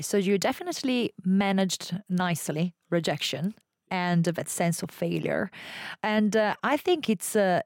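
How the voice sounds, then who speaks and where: A young adult woman interviews a guest, pausing often and filling gaps with "uh" and "you know". Her voice is a little lower than most women's. The recording is dry and close. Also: darkish tone, no echo. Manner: hesitant, many fillers, searching for words. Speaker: podcast host, interviewer. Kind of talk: interview questions.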